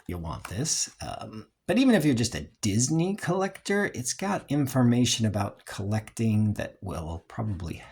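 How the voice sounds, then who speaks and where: A collector giving a casual, unscripted review in a hesitant, rambling way, often trailing off and clearing the throat, with a husky, creaky voice. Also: low voice. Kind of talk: casual unscripted show-and-tell.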